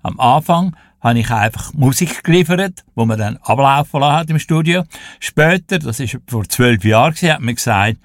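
An elderly Swiss German man in his eighties recounts his family history in an animated, chatty way. His pitch rises and falls a lot, and the studio sound is dry and close. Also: moderate pace, no room sound. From Switzerland. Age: 80s. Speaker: radio show guest and music presenter. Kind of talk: casual interview storytelling.

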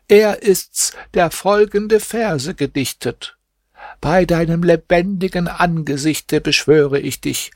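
A man's voice: fairly high pitch, no room sound, studio quality, voice pushed up. A man raising his voice as a coaxing old woman. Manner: coaxing, pleading storyteller's delivery. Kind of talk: audiobook reading.

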